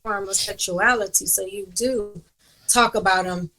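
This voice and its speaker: A woman's voice: mid-range voice, steady tone, a little slow, pushed and bright, low-quality recording. A woman co-hosting a casual chat, speaking bluntly and frankly in slangy everyday speech. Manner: quotes others in put-on voices.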